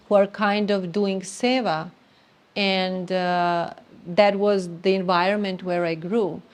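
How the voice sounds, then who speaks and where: A middle-aged woman with a Serbian accent speaks at an ordinary, mid-range pitch. She talks earnestly and haltingly, pausing on fillers, as she shares her personal spiritual story. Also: a little mellow. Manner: earnest, halting, non-native grammar with many fillers. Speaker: interviewee sharing spiritual story. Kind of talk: reflective personal testimony.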